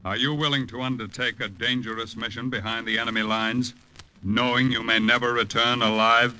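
Commanding mid-pitched intelligence officer, played by a man. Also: steady tone, lightly acted, low-quality recording. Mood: solemn. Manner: formal, deliberate, commanding. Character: authoritative male military intelligence officer briefing an agent. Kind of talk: 1950s radio drama acting. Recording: old 1950 radio recording, music behind.

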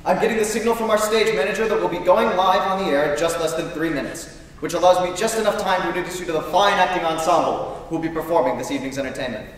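A man's voice: high-pitched, fast talker, lightly acted. A man playing a grand, jovial 1940s radio show host, announcing theatrically on a stage. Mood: genial, showy. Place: large school theatre stage. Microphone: distant camera. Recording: echoey auditorium from far off, audience noise.

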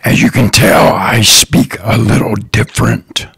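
A man hosting his own podcast, talking slowly and earnestly in a raspy, partly whispered voice. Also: fairly low pitch, steady tone, a bit bright, dry recording. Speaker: podcast host, lawn care business owner. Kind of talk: slow, earnest solo podcast talk.

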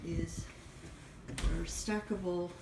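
A woman narrates a property tour at an unhurried pace, pausing often between short phrases. She speaks as a rental agent walking through an empty house. Her phone picks up a strong room echo and clear background noise. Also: on the low side, fairly even intonation. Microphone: handheld phone behind the camera.

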